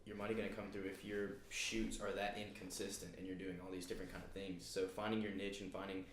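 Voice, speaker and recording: A twenty-year-old man speaks in a fairly low voice, explaining his point earnestly and plainly. The room echoes around him, with a faint hiss underneath. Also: flat delivery, fast talker. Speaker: guest, young business owner. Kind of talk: casual chat. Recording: roomy echo with faint noise.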